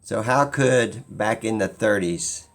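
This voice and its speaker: Slow-talking man with a gravelly, creaky voice. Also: on the low side, a bit bright. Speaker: video creator. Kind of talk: unscripted opinion monologue.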